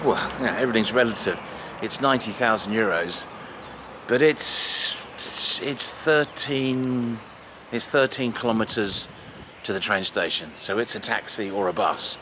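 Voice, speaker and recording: A middle-aged man with a British accent, chatting excitedly while walking through town streets outdoors and filming a house-hunting vlog. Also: on the low side, normal speed, noisy background. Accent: British English. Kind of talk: casual travel vlog. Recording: music playing underneath.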